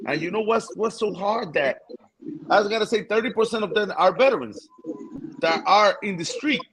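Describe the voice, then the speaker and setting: A man speaks up high and fervently as a guest. He presses his points by repeating words and building momentum. It is loose, casual panel talk. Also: animated, moderate pace, fairly bright tone, breathy edge, poor audio. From Puerto Rico. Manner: passionate, repeats words for emphasis. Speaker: guest, boxer.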